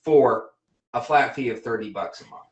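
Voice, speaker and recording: A man's voice: mid-range voice, moderate pace, muffled recording. A man hosting an interview, a dentist chatting casually in a blunt, teasing way with frequent restarts. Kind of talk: casual livestream interview chat. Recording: roomy echo.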